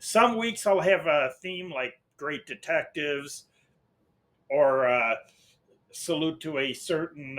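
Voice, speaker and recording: A middle-aged man with an American accent speaks at a medium pitch. He talks off the cuff like a channel host giving an update, wandering through his thoughts with hesitations and repeated words. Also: a bit bright. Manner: rambling, hesitant, often breaking off and repeating himself. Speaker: host of an old-time radio channel. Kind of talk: casual unscripted channel update.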